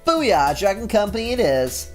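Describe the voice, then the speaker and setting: A man's voice: sits high, big pitch swings, moderate pace, soft background music, pitched above the performer's own voice. A man putting on a brash, cheeky teen girl. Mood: gleeful. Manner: loud, slangy, teasing.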